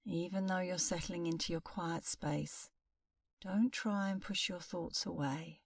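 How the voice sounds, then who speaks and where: Slow, low-voiced woman guiding meditation. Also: no echo, recorded from a distance. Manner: calm, soothing, unhurried with long pauses. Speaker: meditation instructor. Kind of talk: guided meditation.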